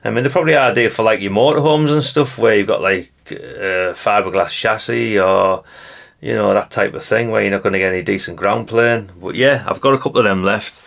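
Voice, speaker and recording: Low, creaky-voiced Englishman chatting casually. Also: brisk, a bit bright. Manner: rambling, matter-of-fact, colloquial. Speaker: hobbyist presenter. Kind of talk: casual unscripted show-and-tell commentary.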